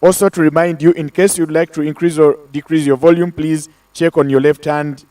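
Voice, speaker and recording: A man moderating an online panel, loud and talkative, addressing the audience directly. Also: fairly high pitch, flat delivery, a little fast, clear, resonant tone, far from the mic. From Africa.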